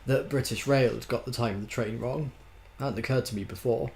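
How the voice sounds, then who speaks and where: A man's voice: medium pitch, fairly quick, vocal fry, a little mellow, slight background noise, close to an everyday voice. A man voicing a mild, affectionate storybook man who rambles dryly through his anecdote. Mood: musing.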